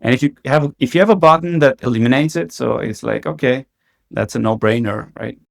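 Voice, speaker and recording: A man's voice: mid-range voice, brisk, vocal fry. A man, a company CEO and podcast guest, talking casually in an interview and filling his sentences with "like" and restarts. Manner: heavy use of the filler "like", frequent restarts.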